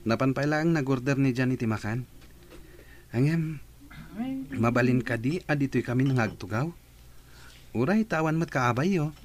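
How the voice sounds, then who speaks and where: A man's voice: medium pitch, normal speed, pauses often, slight background noise, lightly acted. A man playing a friendly, easygoing teenage schoolmate in a radio drama, with an Ilocano Filipino accent.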